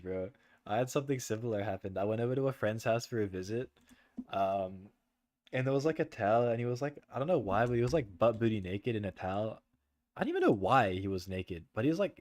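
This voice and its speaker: A young man streaming and chatting loosely with viewers, rambling with lots of "like" and "bro" and frequent laughter, in a soft voice. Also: lowish voice, dry recording. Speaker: livestreamer. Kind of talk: casual chat with stream viewers.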